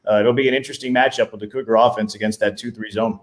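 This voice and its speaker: A man's voice: lowish voice, fairly even intonation, very fast. A man who works as a play-by-play announcer, talking through sports analysis as an interview guest.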